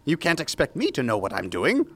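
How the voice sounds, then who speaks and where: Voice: medium pitch, huge pitch swings, a little fast. An actor playing a pompous, theatrical mad scientist who is grandiose, flustered and gleefully irresponsible in a comic audio drama. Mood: smooth, defensive. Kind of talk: audio drama comedy acting.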